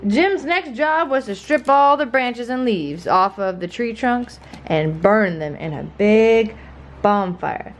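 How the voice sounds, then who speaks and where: A woman reads a children's story aloud at an unhurried pace. Her delivery is playful and expressive, and she gives encouraging asides to a young listener. A ukulele plucks loudly behind her. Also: fairly high pitch. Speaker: parent reading aloud to a child. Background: loud ukulele music.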